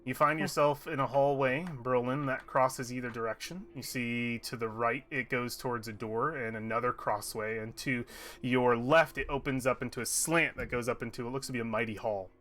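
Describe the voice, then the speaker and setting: A man's voice: medium pitch, steady tone, moderate pace, a bit bright. A man narrating a tabletop game as game master, with loose, rambling description full of fillers and restarts.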